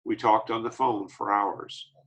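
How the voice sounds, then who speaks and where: A man reading a memoir aloud, slow and measured with small slips. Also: on the low side, level tone, a bit bright, poor audio. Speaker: reader of an autobiography.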